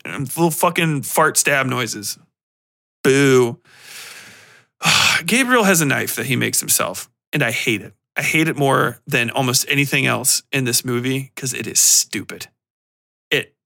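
A man hosting a podcast, giving a casual, animated and opinionated film rant in slangy, profane language. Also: mid-range voice. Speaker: podcast host.

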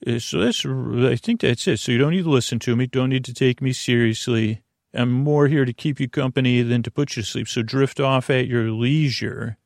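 A man hosting a sleep podcast in a meandering, halting monologue, with a creaky voice, often repeating himself and trailing off mid-thought. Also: mid-range voice, darkish tone, no room sound, clean studio sound. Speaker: podcast host.

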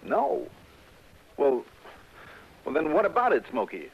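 An actor with an American accent playing a brisk, insistent insurance investigator in a 1960s radio drama. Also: male voice, on the high side, huge pitch swings, creaky, slightly rough, heavily acted. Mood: eager, urgent. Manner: clipped, insistent questioning. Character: brisk, hard-driving male private insurance investigator. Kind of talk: 1960s radio drama acting with first-person narration. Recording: old muffled radio recording with hiss.

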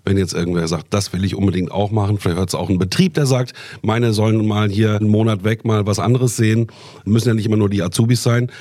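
Male podcast host, lowish pitch, friendly interviewer, dry close sound. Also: steady tone, quick pace, dark tone, no echo. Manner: friendly, asks short follow-up questions. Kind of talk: conversational interview.

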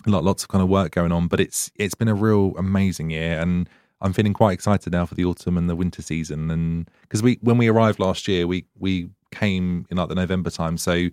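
A man's voice: low voice, fairly even intonation, fairly quick, a little mellow, clean tone, no room sound, clean studio sound. A man hosting a podcast, talking in a chatty, rambling way and laughing often. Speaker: podcast host. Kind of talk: casual interview chat.

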